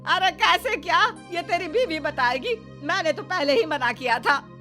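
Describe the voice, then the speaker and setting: Bright, high-voiced scolding mother-in-law. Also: animated, a little slow, faint music behind, heavily acted. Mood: alarmed, scolding. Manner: exasperated, emphatic. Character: scolding, sceptical older mother-in-law, sarcastic and worried. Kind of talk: Hindi moral-story cartoon dubbing.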